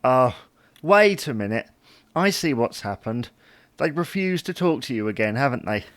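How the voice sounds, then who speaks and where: A man's voice: mid-range voice, very animated, moderate pace, no room sound, lightly acted. A British man playing an easygoing, slightly hapless husband, mildly defensive, in an audio sitcom. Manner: mild, querying, gently defensive. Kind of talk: audio sitcom acting, domestic dialogue.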